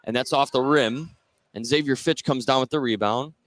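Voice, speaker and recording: A man doing live play-by-play radio commentary of a high school basketball game. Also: normal speed, a bit bright. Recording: noisy gym ambience behind the voice.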